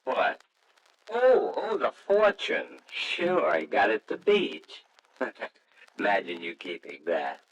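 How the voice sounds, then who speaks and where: An actor playing a breezy, impulsive young American husband in an old radio drama, glib and excitable. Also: male voice, fairly low pitch, takes it slowly, highs cut off, heavily acted. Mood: amused. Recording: faintly noisy old radio recording.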